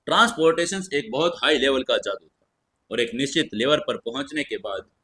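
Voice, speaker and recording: Husky male fantasy story narrator. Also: mid-range voice, bright, forceful tone.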